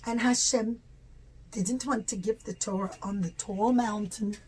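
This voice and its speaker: A woman telling a religious story to young children in expressive, simple, teacherly phrases with stretched words. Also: medium pitch, a little slow, slightly rough.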